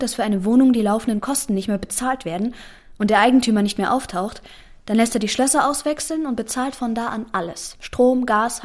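A woman's voice: fairly high pitch, a little mellow, close to an everyday voice. An actress playing a guarded, defiant and pragmatic woman in a German radio drama, with natural dialogue delivery. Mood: matter-of-fact.

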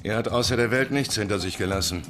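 An actor playing a curt, cool, unyielding boss of a gambling club in a crime radio drama. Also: male voice, lowish voice, fast, a bit bright, faint music behind, natural voice. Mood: cool, dismissive. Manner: terse, unyielding. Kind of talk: hard-boiled radio crime drama acting.

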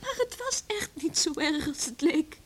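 Very high-voiced headstrong daughter. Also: female voice, lively intonation, a little slow. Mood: pleading. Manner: pleading, headstrong. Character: defiant, pleading young daughter of an island farmer. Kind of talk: Dutch radio play acting.

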